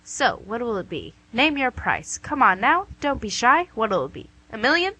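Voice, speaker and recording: Devil for radio comedy, played by a woman: bright, forceful voice, smug salesmanship, teasing rhetorical questions. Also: fairly high pitch, sing-song, moderate pace, husky, heavily acted, rough recording. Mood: pushy, wheeling-dealing. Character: the Devil, wheeling-dealing, smug, teasing tempter. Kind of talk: radio play comedy acting.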